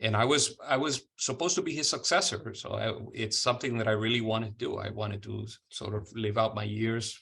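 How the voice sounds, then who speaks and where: A middle-aged man from Honduras speaks as a guest on an interview podcast. His voice sits fairly low, and he talks in a relaxed, unhurried way. He often trips over words, restarts them and fills pauses. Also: creaky, breathy edge. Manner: relaxed, with frequent restarts and fillers. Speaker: guest, citrus company president. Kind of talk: casual interview talk.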